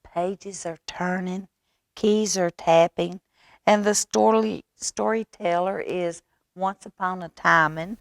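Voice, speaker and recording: A woman's voice: fairly low pitch, fairly even intonation, takes it slowly, vocal fry, no echo, studio quality. A woman voicing a polite but increasingly fed-up young boy in a children's storybook. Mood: hushed annoyance. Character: exasperated young boy complaining in a noisy library.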